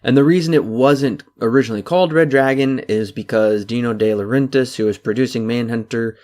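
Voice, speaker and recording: A man's voice: mid-range voice, brisk, a little mellow. A young man co-hosting a podcast in casual chat, talking steadily and reeling off dates and figures. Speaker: podcast co-host.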